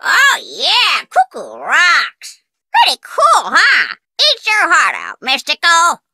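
Talking pet parrot, video game character, shrill and squawking, very slow delivery, hugely animated pitch, cheeky, boastful, repetitive chants. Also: no room sound, exaggerated voice. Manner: chanting short phrases in repeats, bossy and bragging.

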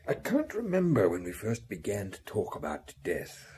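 A man's voice: on the low side, wildly swooping pitch, moderate pace, darkish tone, husky, full character voice. An actor playing a resentful, brooding man recalling a loathed companion in a radio drama. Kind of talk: old radio drama acting.

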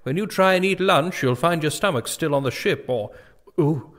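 A man acts a henpecked king in a comic audio drama. His voice is a little high. He grumbles and mutters crudely. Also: moderate pace, fairly bright tone, natural voice. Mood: grumbling.

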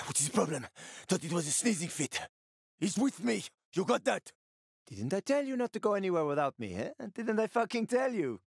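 A man's voice: high voice, big pitch swings, moderate pace, vocal fry, breathy edge, no echo, clean studio sound, full character voice. An actor playing a world-weary, streetwise male survivor in a video game, with a gritty, sardonic, foul-mouthed manner and dramatic delivery. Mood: guarded, reassuring.

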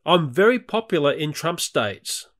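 A man's voice: fairly high pitch, very animated, a little slow, fairly bright tone. A middle-aged Australian man narrating a nature video in a chatty, candid voice-over.

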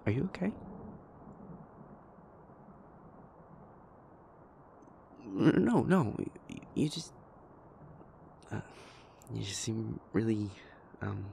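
Man acting a stammering, low-voiced shy boyfriend. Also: creaky, slight background noise. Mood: nervous, apologetic. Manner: stammering, hesitant, apologetic, soft-spoken. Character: shy, anxious, gentle young boyfriend who rambles apologetically. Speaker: audio roleplay voice actor. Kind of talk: intimate boyfriend audio roleplay.

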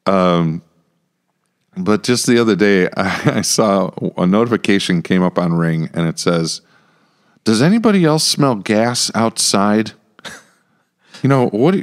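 A middle-aged American man co-hosting a casual podcast, chatty, halting and repeating words as he goes. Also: lowish voice, very animated. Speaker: podcast co-host, church pastor. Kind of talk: casual Bible discussion podcast. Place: small indoor room with acoustic panels. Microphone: close podcast microphone with headphones.

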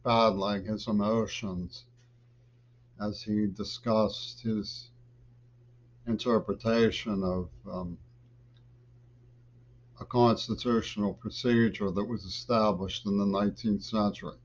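An older man in a small home office talks to his webcam in a rambling political monologue, jumping between loud bursts and low mutters. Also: fairly even intonation, slow pace, pauses often, slight background noise. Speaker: vlogger. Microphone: webcam at desk. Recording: dull lo-fi webcam audio.

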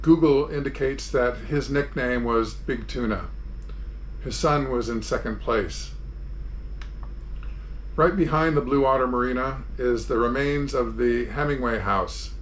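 A man's voice: mid-range voice, a little slow, a little hiss or hum, rough recording. A man in his sixties giving an informal, plain-spoken narration as a vlog host, explaining things step by step. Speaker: sailing vlogger and host. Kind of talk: informal narrated travel tour and how-to.

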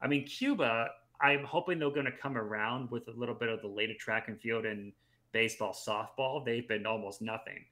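A man's voice: mid-range voice, brisk, bright, forceful tone. A man in his thirties on a headset, talking sports at home in a casual, analytical way, running through results at length. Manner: talkative, analytical, restarts mid-phrase. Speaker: podcast guest.

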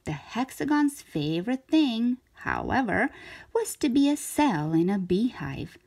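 Slow, expressive woman reading a storybook. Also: a little high, vocal fry, slightly rough. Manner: deliberate, dramatic phrasing for young children. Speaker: children's storybook reader. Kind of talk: expressive scripted read-aloud narration.

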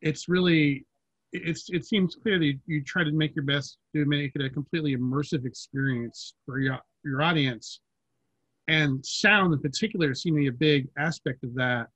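A man's voice: a little high, fairly bright tone, breathy edge, no room sound. A middle-aged man moderating a panel, posing interview questions over a video call from home. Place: room at home, indoors. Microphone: computer mic, video call. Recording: slightly dull video-call audio.

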